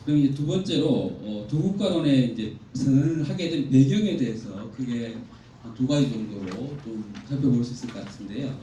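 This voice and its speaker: A man delivers a formal academic presentation in a very echoey hall, heard at some distance from the microphone. His voice is mellow and middling in pitch. He explains in a measured, orderly way, with faint noise behind him. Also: lively intonation. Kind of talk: conference presentation from slides. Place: indoor conference hall.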